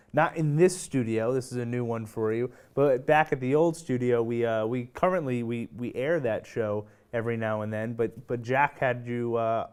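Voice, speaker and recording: A man hosting a relaxed, conversational interview in a TV studio. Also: mid-range voice, clear, resonant tone. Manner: relaxed, conversational, restarts often. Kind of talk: casual interview.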